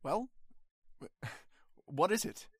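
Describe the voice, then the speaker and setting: A man's voice: high voice, lively intonation, husky, no room sound, heavily acted. Man as a skeptical, reluctant radio-drama husband, dry sound. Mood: weary, dismissive. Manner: halting, reluctant, dismissive. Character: skeptical, dismissive husband trying to stay calm. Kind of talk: old-time radio horror acting.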